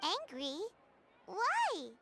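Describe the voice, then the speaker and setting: A woman playing an eager, excitable young female reporter in an anime-style game, inquisitive and gushing with exclamations. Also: extremely high pitch, extremely slow, over loud music, full character voice. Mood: curious, excited.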